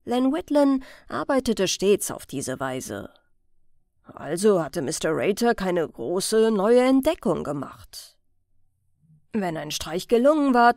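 A woman's voice: a little high, a little mellow, no room sound, clean studio sound. A woman narrating a crime audiobook as a scripted reading.

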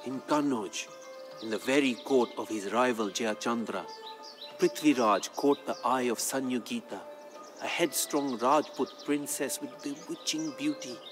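An actor playing a court poet who solemnly tells his king's legend in a measured, grave, dramatic storytelling style for a game. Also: medium pitch, close to an everyday voice. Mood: reverent storytelling. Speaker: narrator. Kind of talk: dramatic scripted storytelling narration. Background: background music.

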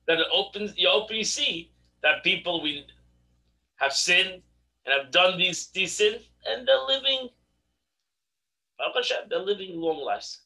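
A middle-aged man teaching a daily religious class over a video call from his home study, animated and repeating words for emphasis. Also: high voice, unhurried, creaky, pauses often, poor audio. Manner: animated, repeats words when stressing a point. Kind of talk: online Torah class. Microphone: video call webcam.

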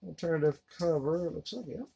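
A man in his thirties giving a relaxed, rambling unboxing commentary while handling discs at his desk. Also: fairly high pitch, fast talker, vocal fry, slightly rough. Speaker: collector unboxing a disc set. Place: collectibles room indoors. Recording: noisy home recording.

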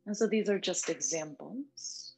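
A woman instructor leading a guided meditation in a gentle, soothing, unhurried manner with long pauses. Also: on the low side, gravelly, breathy edge, rough recording. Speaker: yoga nidra meditation instructor. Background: background music.

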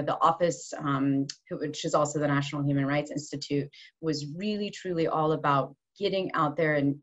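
A woman human rights lawyer, giving an earnest conference talk full of ums and restarts over a video call. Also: sits low. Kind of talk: online conference presentation. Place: office room at home base, rain on the roof. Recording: slightly dull video call audio.

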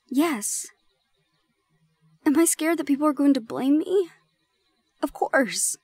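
A woman voicing a shy but stubborn young gorgon in an intimate romantic audio roleplay, sometimes dropping into breathy, whispery asides. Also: medium pitch, a little mellow, dry recording, natural voice. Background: music.

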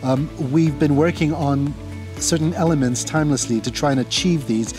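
Man from Seychelles, higher voice, music behind. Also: normal speed. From Seychelles and Kenya. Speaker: company co-founder. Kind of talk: casual presentation to camera. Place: outdoors in a garden.

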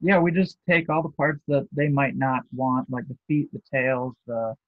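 A young man speaking as a guest on a video call, easygoing and quick to laugh. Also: medium pitch, little pitch movement, no echo. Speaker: guest, shop co-owner. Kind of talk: casual video call interview. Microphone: shared video call. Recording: tinny phone-like call audio.

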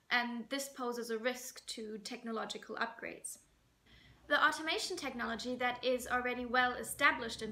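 A woman in her twenties giving a prepared keynote in a measured, formal, articulate way. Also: on the high side, bright, forceful tone. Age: 20s. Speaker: fashion designer giving a keynote. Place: indoors, plain room at home.

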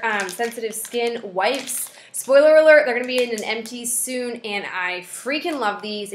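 A woman's voice: fairly high pitch, reverberant, a little hiss or hum. A young woman with a stuffed-up nose from a head cold, chatting casually as a beauty vlogger through a webcam in a small room. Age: young adult. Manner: chatty, friendly, with frequent fillers. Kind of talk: casual product review. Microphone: webcam at desk distance.

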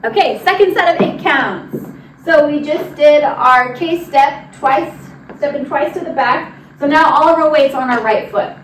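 A woman's voice: sits high, a little slow. A young woman giving step-by-step line dance instruction, counting out the moves as she demonstrates them. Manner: directive, demonstrating while moving. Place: large indoor dance studio with wooden floor. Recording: roomy, distant mic with noise.

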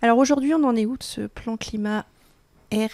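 Creaky-voiced woman hosting radio. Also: on the high side, fairly quick, a little mellow, no room sound. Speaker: radio host, interviewer. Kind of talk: radio magazine presenting and interviewing. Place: radio studio, indoors. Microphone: close handheld-style studio microphone.

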